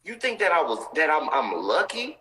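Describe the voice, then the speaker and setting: A man's voice: fairly high pitch, big pitch swings, moderate pace, pushed and bright. A man in his thirties giving motivational talk on a live stream, intense and preachy, repeating his points for emphasis. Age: 30s.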